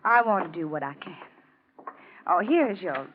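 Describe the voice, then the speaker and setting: A woman's voice: on the low side, expressive, measured pace. Poised young female housekeeper, genteel theatrical delivery, vintage radio drama, thin muffled sound with hiss. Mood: composed, enigmatic. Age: young adult. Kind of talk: 1940s radio acting. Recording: muffled, hissy 1940s radio recording.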